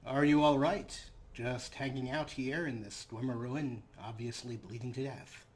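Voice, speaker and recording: A man's voice: mid-range voice, steady tone, moderate pace. A man hosting a let's play with casual, jokey commentary and wry asides. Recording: loud game noise behind voice. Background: video game sound.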